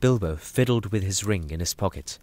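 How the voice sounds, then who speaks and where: Animated male storybook narrator. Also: sits low, moderate pace.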